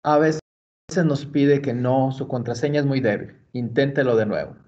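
A man from Mexico patiently explaining computer steps in a screen-recorded library tutorial. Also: medium pitch. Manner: slow, patient, step-by-step explaining. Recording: dull lo-fi screencast audio.

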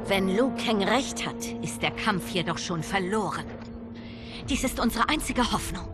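A woman's voice: mid-range voice, lively intonation, measured pace, close to an everyday voice. An actress playing a determined, urgent female warrior in video game dialogue, earnest and resolute. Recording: music behind the voice.

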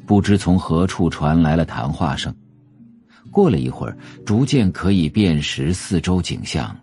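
Deep, creaky-voiced male narrator. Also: expressive, normal speed, dark tone, faint music behind. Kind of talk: scripted audio drama narration of a novel.

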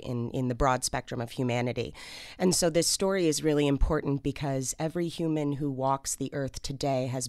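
A woman speaks earnestly and in measured statements, as an actor in an interview. Her voice is smooth and resonant, with very little room sound. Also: extremely low pitch, dry recording, studio quality. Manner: earnest, declarative. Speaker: stage actor interviewed about a play. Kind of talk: considered interview answer.

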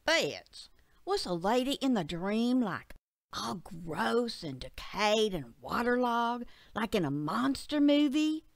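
A woman's voice: on the high side, very animated, slow, vocal fry, no room sound, heavily acted. A woman voicing a cheeky, scornful boy from a trailer park, slangy and sneering, in an audio story. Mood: teasing.

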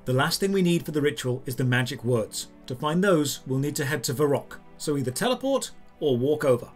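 Higher-pitched man narrating a game guide. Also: lively intonation, brisk. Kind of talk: scripted step-by-step tutorial narration. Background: background music.

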